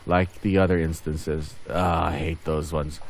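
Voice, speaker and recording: A man's voice: rumbling low, level tone, unhurried, creaky, slight background noise. A man doing casual gameplay commentary as host of a gaming video, rambling and thinking aloud as he goes.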